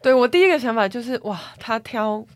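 一位帶台灣腔國語的女性，以播客主持人的身分輕鬆閒聊職場話題，講話生動。. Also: female voice, on the high side, a little fast, no echo, clean studio sound. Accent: Taiwanese Mandarin. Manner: animated, reads a listener's story aloud dramatically. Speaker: podcast co-host. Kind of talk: casual chat about workplace stories.

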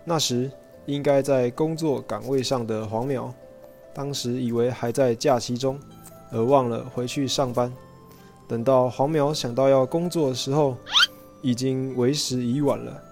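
A man's voice: medium pitch, fairly even intonation, measured pace, a little mellow. Young man narrating over music. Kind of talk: scripted radio drama narration. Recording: music under the voice.

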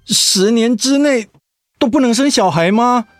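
An actor playing a worried, protective young husband in a radio drama. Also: male voice, very high-pitched, a little slow, faint music behind. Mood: distressed.

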